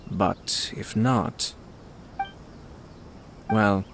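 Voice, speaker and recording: Low-voiced, composed noble lord. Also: male voice, steady tone, a little hiss or hum. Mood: measured, analytical. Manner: formal, polite, deliberate phrasing. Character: composed, courteous young noble lord, shrewd and measured. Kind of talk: video game character voice acting. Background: game music.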